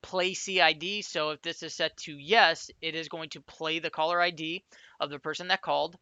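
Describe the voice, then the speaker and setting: A man's voice: a little high, steady tone, moderate pace, bright, forceful tone, clear, resonant tone, dry recording, muffled recording. A man giving an informal, explanatory step-by-step screencast tutorial in a conversational way, as an instructor.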